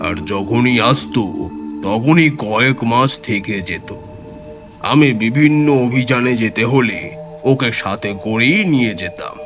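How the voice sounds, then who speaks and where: A man playing a seasoned, knowing tantrik who tells his horror story in a measured, explanatory way for an audio drama. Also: mid-range voice, close to an everyday voice. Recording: music clearly behind the voice.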